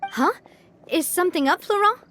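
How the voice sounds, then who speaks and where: A woman's voice: extremely high pitch, lively intonation, normal speed, fairly bright tone, faint music behind. An actress playing an earnest, protective young female gang boss in a video game, decisive and dramatic in delivery. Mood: alarmed.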